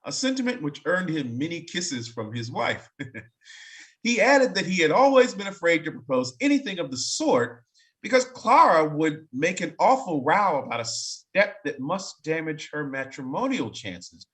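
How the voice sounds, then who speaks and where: Male narrator for a staged reading: slightly high voice, very animated intonation, expressive storytelling broken by dry conversational asides. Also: moderate pace. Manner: expressive, wry, breaking off to comment. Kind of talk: dramatic reading aloud with casual asides.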